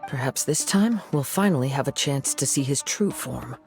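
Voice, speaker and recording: An actor playing a calm young male wandering samurai in a game, measured and poetic. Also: mid-range voice, soft background music, close to an everyday voice. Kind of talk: game voice acting.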